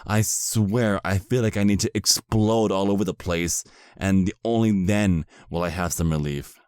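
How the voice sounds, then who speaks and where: A man's voice: low-pitched, steady tone, a little slow, a little mellow, clear, resonant tone, no room sound, clean studio sound. A man playing a put-upon vampire husband in a comedic audio roleplay, complaining in a sardonic, hesitant way. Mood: aggrieved venting.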